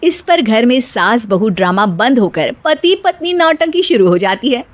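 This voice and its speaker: Brief: woman storyteller, high and animated, dry close sound. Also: moderate pace, no echo. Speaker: narrator. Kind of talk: scripted storytelling narration.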